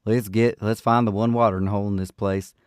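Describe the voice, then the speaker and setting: A man's voice: on the low side, little pitch movement, brisk, smooth, dry recording, studio quality, close to an everyday voice. A man playing a brash young cowboy of the Old West who grumbles and swears in an exasperated way for an audiobook. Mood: impatient.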